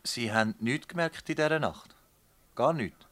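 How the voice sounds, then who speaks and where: A man's voice: medium pitch, moderate pace, a bit bright, close to an everyday voice. A man playing a stern, methodical public prosecutor in a radio drama, with a Swiss German accent and a formal, probing, commanding manner. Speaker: actor. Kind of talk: radio drama acting in dialect.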